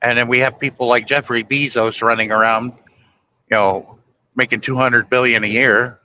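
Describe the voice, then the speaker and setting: A man's voice: mid-range voice, fairly even intonation, moderate pace, sharp tone, muffled recording. A man chatting casually late at night on a live stream, blunt and griping, swearing freely.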